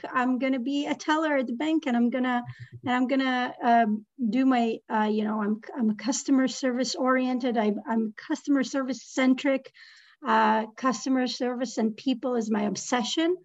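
A woman's voice: a little high, steady tone, moderate pace, darkish tone, dull audio. A woman in her thirties, originally from Israel, speaking as a guest in an interview, hesitant with many fillers and restarts. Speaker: guest, real estate lending executive.

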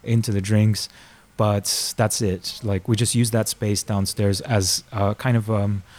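A fairly low-voiced man in his forties, from Florida by way of Argentina. He talks as a bar owner in a relaxed interview, halting and restarting often and laughing at himself. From born in Argentina, grew up in Florida. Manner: hesitant, many restarts and fillers, laughs at himself. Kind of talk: casual interview chat.

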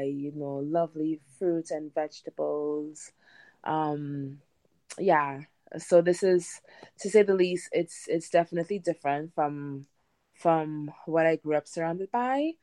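A woman in her thirties with a Trinidadian accent chats casually as a guest. Her voice sits a little low, and the sound is dry with very little room. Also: slow, warm tone, no echo. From Trinidad and Tobago. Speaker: podcast guest, home gardener. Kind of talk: casual interview chat.

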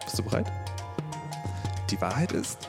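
A man's voice: normal speed, music in the background. A man hosting a podcast in a casual, wry way, asking loose, rambling questions. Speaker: podcast host. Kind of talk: casual conversational interviewing.

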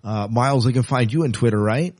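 A man's voice: mid-range voice, a little fast, darkish tone. A man hosting a podcast in a casual, chatty and polite way, addressing guests and listeners. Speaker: podcast host.

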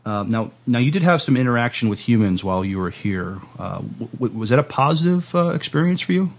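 A man hosting a playful, casual podcast interview, speaking politely and haltingly as he plays along with his guest. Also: mid-range voice, expressive.

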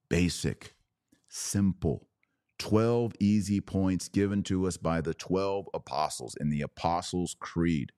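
A man hosting a religious podcast, preaching in an emphatic, declarative way with deliberate pauses and repetition. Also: extremely low pitch, a little mellow, no room sound, studio quality. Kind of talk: preaching monologue.

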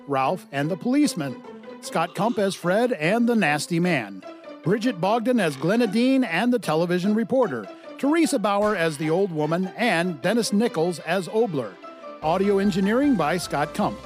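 A man reading a scripted announcement as a show's credits announcer. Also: sits high. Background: music.

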